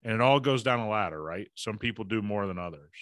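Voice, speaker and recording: A middle-aged man talks bluntly and emphatically on a podcast while running a fever. His voice sits low, and the recording is dry and close. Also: expressive, fast talker, a bit bright, dry recording. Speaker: podcast co-host. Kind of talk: casual motivational talk.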